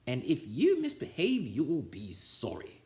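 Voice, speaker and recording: A strict, nasty witch of a schoolmistress from a children's storybook, acted out by a man. She snaps and hisses her orders in a raised pitch, commanding and unpleasant, with big swoops in tone. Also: wildly swooping pitch, measured pace, mellow. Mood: stern, menacing. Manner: snapping, hissing, commanding. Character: strict, unpleasant witch of a substitute teacher, woman.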